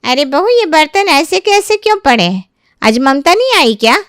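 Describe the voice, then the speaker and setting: A woman's voice: high voice, sing-song, normal speed, fairly bright tone, no room sound, clean studio sound, pitched above the performer's own voice. A woman playing an exasperated, bossy older mother-in-law in an audio story, reproachful and questioning. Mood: puzzled.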